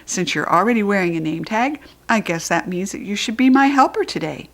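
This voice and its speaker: A woman's voice: mid-range voice, animated, a little fast, lightly acted. A woman reading a storybook aloud, voicing a friendly, playful female hairdresser.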